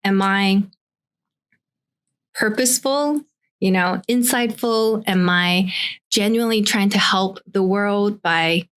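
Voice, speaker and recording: Young woman from Taiwan, life-coach guest, mid-pitched, musing and talking through her thoughts on a chatty podcast. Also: slow, long pauses, a bit bright, smooth. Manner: reflective, thinks aloud with frequent "like" and restarts. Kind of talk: casual podcast conversation.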